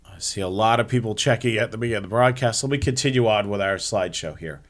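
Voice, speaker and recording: A man's voice: on the low side, fast talker. A man hosting a live hobby show, explaining things step by step in an informal slideshow presentation.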